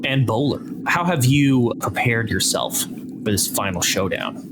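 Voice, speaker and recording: A man's voice: mid-range voice, animated, a little slow, very noisy. Encouraging male game master. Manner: encouraging, prompting players. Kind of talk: running a tabletop game. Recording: background music. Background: music.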